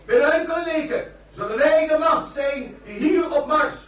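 A self-important ruler of Mars in a radio drama, very high in pitch. He declaims like a demagogue, slow and rhetorical, his words echoing heavily from far off. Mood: rousing. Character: self-styled Martian ruler, a pompous demagogue rallying followers. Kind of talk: political speech in a Dutch radio play. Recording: very echoey, distant from the microphone.

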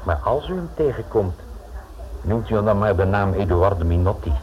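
An actor playing a theatrical Italian mafia enforcer in a Dutch radio play, mock-courteous and insinuating, pausing deliberately for effect. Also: male voice, on the low side, lively intonation, lightly acted. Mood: quietly threatening. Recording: dull, noisy old radio play recording.

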